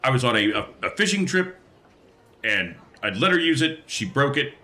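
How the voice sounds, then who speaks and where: A man playing a tabletop role-playing game, haltingly thinking aloud as he slips in and out of character. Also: mid-range voice, sing-song, pushed and bright. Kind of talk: casual in-character role-play and table talk.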